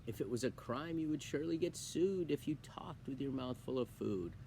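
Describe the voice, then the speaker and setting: A man's voice: mid-range voice, lively intonation, moderate pace, gravelly, warm tone, slight background noise, voice pushed up. A man voicing an exasperated father scolding his son in a rhyming storybook poem.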